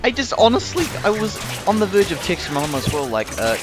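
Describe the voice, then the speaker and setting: Animated young man telling casual, sweary stories. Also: high-pitched, fast talker. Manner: animated, sweary, acts out reactions. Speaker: gaming video host. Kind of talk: casual storytelling over gameplay. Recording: music behind the voice. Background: music.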